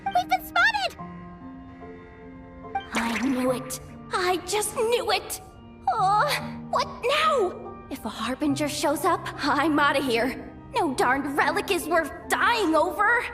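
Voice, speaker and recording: Squeaky, very high, cowardly little guide. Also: female voice, sing-song, takes it slowly, pauses often, over music, full character voice. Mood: panicked. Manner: fretful, fawning, exaggerated. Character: small, cowardly, whiny hired guide, squeaky and excitable. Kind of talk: video game voice acting.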